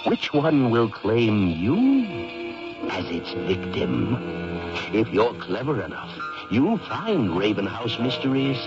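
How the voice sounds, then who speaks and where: A man's voice: on the low side, wildly swooping pitch, takes it slowly. A man announcing a radio commercial in a dramatic, tongue-in-cheek scripted style. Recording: dull old radio recording. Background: music.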